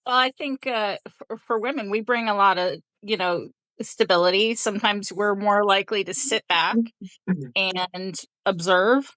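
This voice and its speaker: Female podcast guest, smooth clear voice, close dry sound, thoughtful conversational delivery. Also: medium pitch, a little slow, fairly bright tone, dry recording, low-quality recording. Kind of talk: casual conversational talk.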